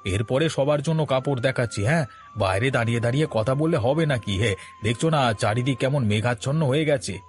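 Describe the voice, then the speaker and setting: A man's voice: mid-range voice, fast, lightly acted. A man voicing an honest, humble village cloth trader in a moral-story cartoon, with an earnest, homely delivery. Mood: friendly, chatty. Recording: background music under the voice.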